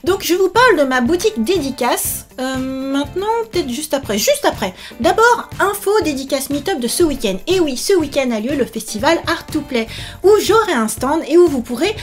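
A woman in her thirties chatting casually to camera in an animated, exclamatory and enthusiastic manner. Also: high voice, moderate pace, soft background music. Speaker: author and YouTuber promoting her book. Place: small indoor room set up for filming.

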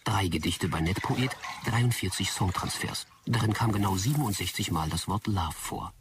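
A man acting a pedantic artificial assistant from a sci-fi radio play. The voice is low and dryly precise. It sounds a little far from the mic, with clear noise around it. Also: expressive, normal speed, lightly acted. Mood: deadpan, teasing. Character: dry, pedantic male AI personal assistant. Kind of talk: German sci-fi audio drama acting.

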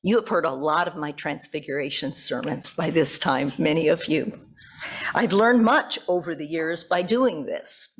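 Female pastor, American, middle-aged, lowish register, conversational and thoughtful sermon delivery at a church lectern. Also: moderate pace, breathy edge. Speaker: pastor preaching. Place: church sanctuary at a pulpit. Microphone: gooseneck pulpit microphone.

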